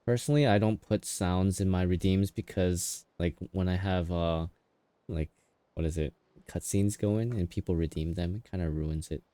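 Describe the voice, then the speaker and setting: Low, mellow-voiced casual male streamer. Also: fairly even intonation, normal speed, dry recording. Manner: slangy, offhand. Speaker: game livestreamer. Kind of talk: casual chat and reactions over gameplay.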